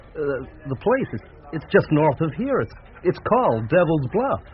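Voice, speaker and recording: An actor playing a keen, proud young small-town American reporter, chatty and boastful, in a naturalistic radio drama. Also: male voice, fairly high pitch, sing-song, measured pace. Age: young adult. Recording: tinny, band-limited, noisy radio recording.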